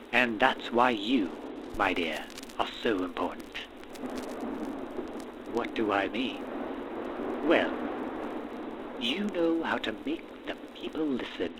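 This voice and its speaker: A man playing a manic, ambitious mad scientist in a gothic horror audio roleplay, speaking in florid, formal, theatrical phrases with dramatic pauses. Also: mid-range voice, natural voice. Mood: impassioned, grandiose. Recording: steady rain and thunderstorm noise behind. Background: rain and thunderstorm ambience.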